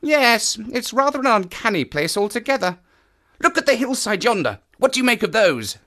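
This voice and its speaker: A man playing a lively moorland naturalist. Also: very high voice, normal speed, heavily acted. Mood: eager. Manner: animated, enthusiastic explaining. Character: eager male naturalist of the moors, lively and knowing. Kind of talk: audio drama acting.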